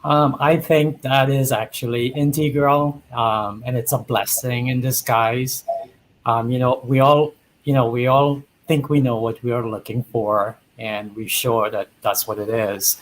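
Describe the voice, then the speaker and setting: A man's voice: mid-range voice, steady tone, a little slow, low-quality recording. A man in his fifties from Trinidad, a guest speaking earnestly and thoughtfully on a video call, with frequent ums. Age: 50s. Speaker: dating show guest. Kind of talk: casual reflective talk.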